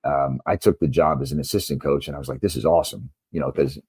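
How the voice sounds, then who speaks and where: A man's voice: very deep voice, quick pace, darkish tone, breathy edge, dry recording, clean studio sound. An American man speaking as a guest coach in an interview, telling stories in an earnest, explanatory way. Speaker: guest, basketball coach and author. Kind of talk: conversational interview, telling anecdotes.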